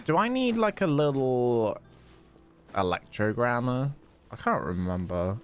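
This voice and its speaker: A man's voice: mid-range voice, very animated, measured pace, smooth, faint music behind. A man streaming a game, chatting casually and rambling while answering chat questions. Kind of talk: casual gameplay commentary.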